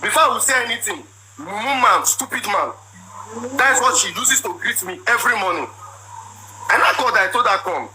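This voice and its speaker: A man in his thirties with a Nigerian accent, telling his side of a marriage dispute in a loud, forceful, repetitive way. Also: sits high, expressive, fairly quick. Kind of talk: emotional personal account. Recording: noisy background.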